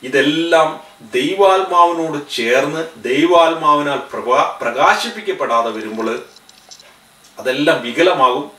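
Man in his thirties, earnest preacher, bright tone, roomy room, a bit off-mic. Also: a little high, expressive, a little slow, far from the mic. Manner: earnest, gestures with both hands, voice rises on key phrases. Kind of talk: spoken sermon to camera. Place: studio in front of a backdrop.

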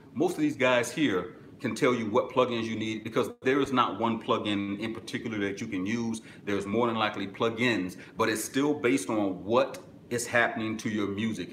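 Deep-voiced man giving blunt music advice. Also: a bit bright, echoey room, a little hiss or hum. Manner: direct, instructive, answers viewer comments. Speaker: music business webinar host. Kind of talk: live stream Q&A advice. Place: small office with corkboard, indoors.

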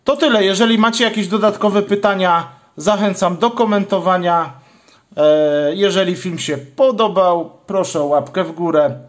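A man's voice: sits high, normal speed. A man hosting a step-by-step hands-on tutorial, explaining each stage in a methodical way. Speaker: channel host, product demonstrator.

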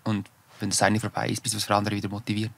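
A man's voice: on the low side, monotone, very fast, gravelly, dry recording. A man in his forties with a Swiss German accent, a professional alpinist as guest, talking in careful standard German with restarts and tag questions. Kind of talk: casual interview talk.

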